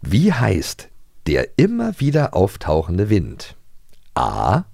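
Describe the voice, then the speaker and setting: A man's voice: on the low side, huge pitch swings, takes it slowly, vocal fry, darkish tone, dry recording, clean studio sound. A man chatting casually and jokingly as a podcast co-host.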